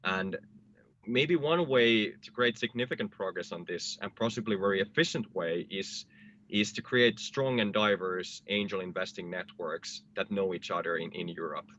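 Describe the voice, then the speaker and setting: A man speaking earnestly on a panel, with halting repeats. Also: deep voice, fairly bright tone, dull audio, a little hiss or hum. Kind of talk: panel discussion.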